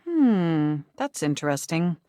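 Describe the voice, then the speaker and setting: Female game computer, formal, factual, affectionate, animated intonation, dry studio sound. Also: deep voice, slow, no echo, heavily acted. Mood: informative, fond. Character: polite, literal-minded female desktop computer named Mac, affectionate.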